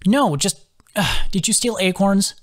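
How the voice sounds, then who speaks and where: A man voicing a brisk, commanding woman druid healer in an audiobook, urgent and direct. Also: high voice, animated, pitched above the performer's own voice. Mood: exasperated. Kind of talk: audiobook character voice.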